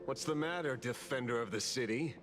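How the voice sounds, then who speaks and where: A man's voice: medium pitch, brisk, noisy background, natural voice. An actor voicing a stern male interrogator in a video game, cold and probing, lecturing as he questions people. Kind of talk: game dub dialogue. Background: game music.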